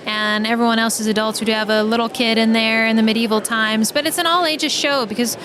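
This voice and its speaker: A woman's voice: fairly even intonation, fast, a bit bright. A woman chatting casually as a podcast guest, playful and informal as she talks. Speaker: podcast guest, audio drama writer and narrator. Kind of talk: casual interview chat. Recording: noisy live event, vehicle-like rumble.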